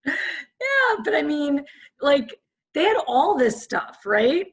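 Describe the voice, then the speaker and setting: A raspy-voiced woman in her fifties, a podcast guest chatting and rambling with lots of fillers. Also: high voice, sing-song, takes it slowly. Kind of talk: casual chat.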